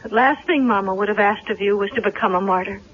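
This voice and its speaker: An actress playing a strong-willed young grieving daughter, earnest and direct, in a 1970s radio drama. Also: female voice, mid-range voice, quick pace, close to an everyday voice. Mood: firm, reproachful. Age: young adult. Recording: dull lo-fi vintage radio recording.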